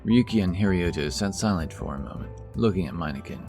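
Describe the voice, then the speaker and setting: A man narrating a fantasy audio story in a steady, low-key way. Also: quick pace, faint music behind.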